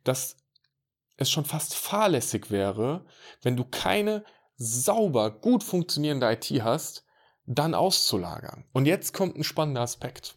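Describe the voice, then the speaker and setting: A man's voice: medium pitch, big pitch swings, unhurried. A man working as an IT consultant, speaking in a casual, direct monologue and addressing the listener informally.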